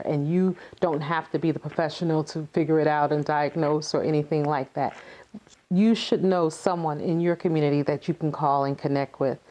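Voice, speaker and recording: A woman's voice: sits low, darkish tone. A woman giving earnest, encouraging conversational answers as an interview guest, repeating phrases for emphasis. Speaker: playwright and activist guest.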